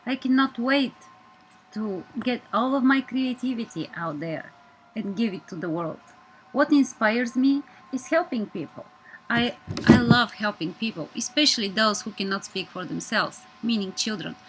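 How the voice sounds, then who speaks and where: A woman's voice: fairly high pitch, lively intonation, normal speed, low-quality recording. Bulgarian-accented woman in her thirties, earnest presenter, faint noise behind her. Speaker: media student. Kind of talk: scripted personal presentation.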